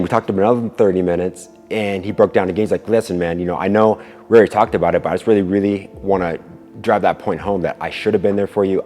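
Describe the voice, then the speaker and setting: A man with a very low voice talks casually and earnestly, as if sharing a personal story with viewers. His words carry a long reverb, and music plays behind him. He often repeats a word or phrase to stress it. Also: darkish tone. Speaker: kickboxer and video creator.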